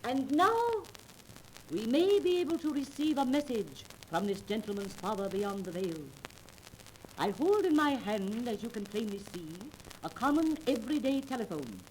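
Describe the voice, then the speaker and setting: An actress playing a commanding, mysterious fake spirit medium, grandiose and solemn, in a 1930s radio drama recording. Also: female voice, fairly high pitch, darkish tone, a little hiss or hum, poor audio.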